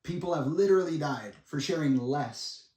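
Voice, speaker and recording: A man in his twenties giving a monologue to viewers in a dramatic, deliberate way, with pauses and blunt asides. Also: on the high side, lively intonation, warm tone. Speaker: speaker making a personal public statement. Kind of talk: semi-prepared monologue addressed to viewers. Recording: echoey room, distant microphone.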